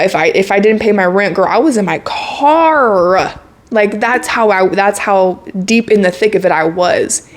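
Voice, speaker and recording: Candid young woman vlogging. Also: medium pitch. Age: 20s. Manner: candid, earnest, slangy with frequent laughs. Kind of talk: casual reflective monologue to camera.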